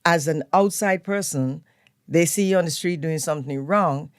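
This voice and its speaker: An older woman with a Trinidadian accent, a guest telling stories of her upbringing in a relaxed, unhurried way. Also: low voice, clear, resonant tone, dry recording, clean studio sound. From Trinidad and Tobago. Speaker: guest, event planner. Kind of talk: relaxed storytelling interview. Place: radio studio.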